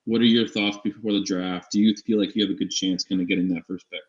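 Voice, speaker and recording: Echoey, low-voiced man chatting sports. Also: fairly even intonation, fast. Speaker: co-host of a fantasy sports show. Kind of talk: casual sports chat. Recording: slightly dull, echoey room sound.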